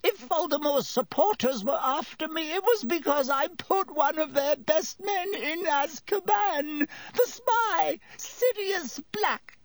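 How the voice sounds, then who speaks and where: A man voicing a frightened, cowardly traitor in an audiobook, shrill, whining and breathless. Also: lively intonation, slow pace, few pauses, heavily acted. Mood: tearful, scared. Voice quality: shrill, squealing. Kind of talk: audiobook character voice.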